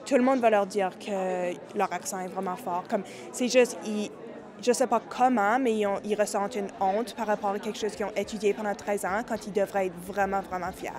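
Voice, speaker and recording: A woman's voice: medium pitch, fairly even intonation, normal speed. Casual young woman, crowd around. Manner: casual, uses "genre" and "comme". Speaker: interviewee. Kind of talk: casual testimony. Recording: crowd chatter behind.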